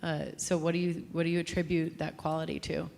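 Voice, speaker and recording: Low, even-toned woman host. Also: quick pace, creaky, slight background noise. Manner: admiring, thoughtful questions. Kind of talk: interview host chat. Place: live music venue.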